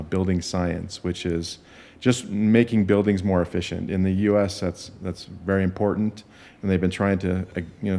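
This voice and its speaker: 50s guy, guest on a podcast, kinda low voice, takes his time and thinks out loud. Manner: unhurried, thinks aloud as he explains. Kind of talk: podcast interview.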